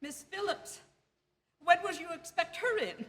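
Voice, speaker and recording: Casting: neurotic, imperious invalid wife for suspense radio play; high, sharp, pleading voice, near hysteria. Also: female voice, lively intonation, measured pace, heavily acted. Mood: agitated, demanding. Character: anxious, demanding, self-pitying invalid wife spiraling into hysteria. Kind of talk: theatrical radio drama acting.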